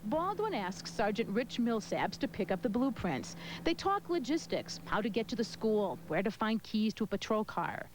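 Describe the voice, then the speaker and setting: A woman's voice: mid-range voice, big pitch swings, moderate pace, noisy background. A woman with an American accent delivering a broadcast news report as a television reporter.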